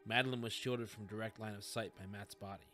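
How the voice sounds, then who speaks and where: A man narrates scripted fiction in a fairly low, clearly bright voice. He delivers it as an audiobook storyteller, with light music behind him. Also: steady tone, quick pace.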